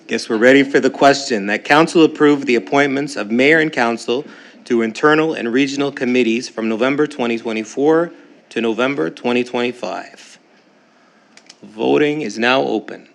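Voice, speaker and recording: A man chairing a town council meeting, reading motions briskly and joking lightly with the room. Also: medium pitch, gravelly. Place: council chamber.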